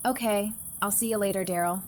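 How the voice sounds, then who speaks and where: A woman's voice: mid-range voice, steady tone, a little fast, natural voice. A woman playing a frank, curious survivor in an audio drama, with a wry conversational manner. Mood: friendly. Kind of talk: fan audio drama acting.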